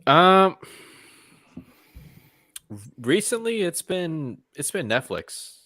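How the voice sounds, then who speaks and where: A man's voice: high-pitched, big pitch swings, extremely slow, bright, forceful tone, no room sound, studio quality. A man chatting casually as a panelist, with a hesitant, loosely phrased way of talking. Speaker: panelist on a movie talk show. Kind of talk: casual chat.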